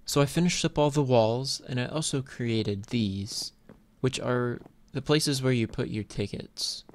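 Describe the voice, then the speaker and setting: A man's voice: mid-range voice, measured pace. A man casually commentating on his own gameplay, thinking aloud in an informal way as host. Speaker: host of a gaming video series. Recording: clear background noise, keyboard clicks. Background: computer keyboard and clicking.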